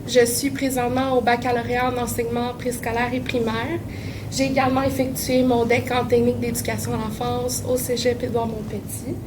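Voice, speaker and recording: Young Guatemala-born woman, flat and high. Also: fairly quick, background noise. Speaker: student co-presenter. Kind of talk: brief self-introduction.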